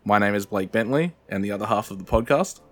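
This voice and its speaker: A man's voice: fairly low pitch, animated, fast talker. A man hosting a podcast in casual chat, congested from a head cold, laid-back and joking with casual swearing. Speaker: podcast host.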